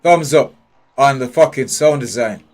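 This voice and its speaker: Male streamer, mid-pitched, swears freely, questions everything, faint game music underneath. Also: unhurried, a little mellow. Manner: blunt, profane, thinking aloud. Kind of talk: live gameplay commentary. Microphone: headphones with a desk mic.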